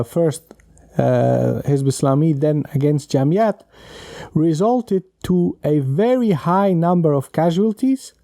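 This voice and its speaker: A man on a podcast panel explaining and telling stories in halting, deliberate phrases. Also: fairly high pitch, warm tone, no echo, studio quality. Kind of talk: explanatory storytelling.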